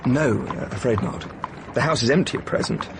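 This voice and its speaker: An actor playing a solid, virtuous English diplomat who speaks politely and formally, with an English accent. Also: male voice, medium pitch, brisk, background noise, natural voice. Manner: polite, hesitant, formal phrasing. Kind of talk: BBC radio drama acting.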